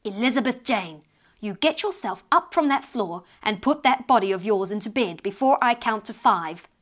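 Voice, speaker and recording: A woman voicing a cross, weary mother who scolds her child in a sharp, stiff voice. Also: on the high side, expressive, normal speed, natural voice. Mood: scolding.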